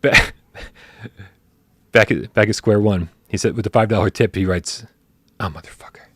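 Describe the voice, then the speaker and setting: Low-voiced animated male podcast host. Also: moderate pace, husky, dry recording, clean studio sound. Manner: animated, swears casually, stumbles and restarts. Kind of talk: casual livestream chat.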